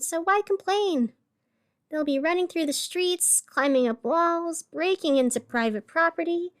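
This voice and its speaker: A woman hosting a scripted fictional community radio show, speaking in a chatty, whimsical and wry way. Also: sits high. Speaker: podcast host narrating a fictional city radio show.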